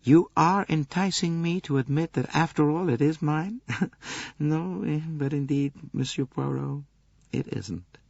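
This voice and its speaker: A man's voice: on the high side, a little slow, dry recording, muffled recording, voice pushed up. A man voicing an earnest, passionate young American countess in an audiobook, with a composed, incisive tone. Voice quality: rich, clear-cut, incisive tone. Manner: earnest, quietly emotional.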